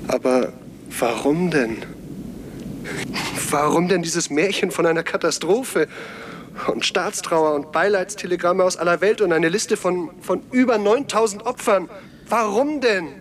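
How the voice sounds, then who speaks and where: Seventies radio play: agitated investigator, slightly high-pitched, distrustful, repeats himself, noisy recording. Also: male voice. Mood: bitter, insistent. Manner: halting, repeats words, insistent questioning. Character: agitated, suspicious male investigator demanding the truth. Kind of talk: 1970s German radio play acting.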